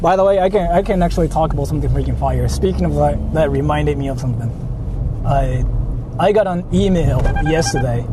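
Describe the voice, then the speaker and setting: A man in his thirties from Japan, chatting casually and crudely with viewers while driving. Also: a little high. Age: 30s. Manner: rambling, profane, joking with viewers. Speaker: vlogger. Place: inside a car on city streets. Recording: road noise in a car.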